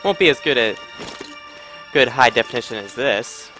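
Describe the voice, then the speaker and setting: A man's voice: medium pitch, expressive, normal speed, poor audio. A man giving casual gameplay commentary in offhand, mumbled asides over a video game. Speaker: gamer recording a playthrough. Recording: game soundtrack behind the voice. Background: video game music and sound.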